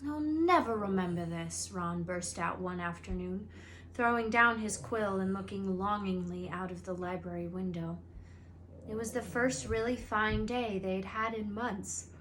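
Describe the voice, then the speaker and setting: A woman reading a children's book aloud as a storyteller, with expressive narration. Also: medium pitch, a little slow, rough recording. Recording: faint background noise and ambience.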